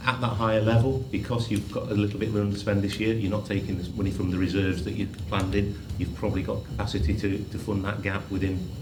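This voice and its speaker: A man's voice: lowish voice, flat delivery, fast, hardly any pauses. A man advising a council committee on finances in an analytical, plain-spoken way. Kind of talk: formal committee meeting speech. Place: council meeting room. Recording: roomy chamber with loud background noise.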